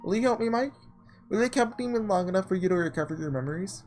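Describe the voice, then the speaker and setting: A man voicing a mysterious, graceful, teasing girl in a visual novel, speaking softly, politely and enigmatically. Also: high-pitched, animated, rapid-fire, faint music behind, voice pushed up. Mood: calm, coaxing.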